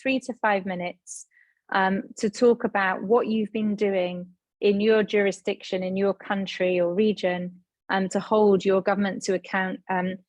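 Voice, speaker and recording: A woman's voice: medium pitch, dry recording. Friendly woman moderator introducing guests on an online panel, dry close audio. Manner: friendly, organized, with frequent ums.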